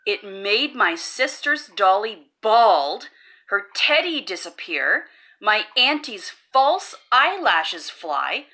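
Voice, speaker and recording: A woman's voice: high-pitched, pushed and bright. A woman hosting a children's read-aloud, reading a rhyming picture book in a playful, sing-song way. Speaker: storybook read-aloud host.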